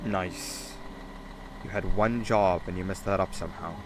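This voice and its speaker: Man voicing a glib, sarcastic mascot monster, lowish voice. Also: steady tone, moderate pace, noisy background, lightly acted. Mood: chatty, needling. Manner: glib, teasing, conversational. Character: chatty sarcastic mascot monster, upbeat schemer. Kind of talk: video game character acting.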